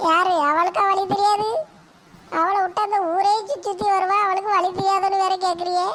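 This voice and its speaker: An actor playing a mischievous schoolboy grandson, making excuses and muttering asides. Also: male voice, very high-pitched, level tone, a little fast, background noise. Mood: cheeky.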